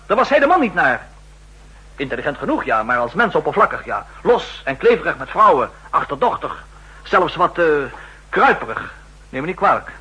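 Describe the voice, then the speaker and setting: An actor playing a driven counter-intelligence official, formal, pointed and emphatic, in a 1960s radio drama. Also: male voice, on the high side, big pitch swings, normal speed, pushed and bright, full character voice, poor audio. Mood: accusing, triumphant. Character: driven, insistent male counter-intelligence official making accusations. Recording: rough old 1960s radio recording.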